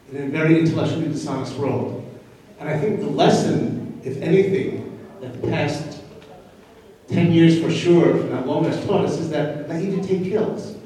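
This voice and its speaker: Man, mellow dark tone, deliberate emphatic delivery, audience commenter, long hall reverb. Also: fairly high pitch. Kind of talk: impromptu opinion at a public discussion. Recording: echoey hall, distant microphone.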